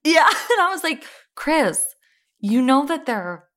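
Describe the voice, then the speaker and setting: A woman with a bright, forward voice and swooping intonation chats as a podcast co-host. She tells pop-culture stories in a casual, animated style. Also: sits high, takes it slowly. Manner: animated, chatty storytelling.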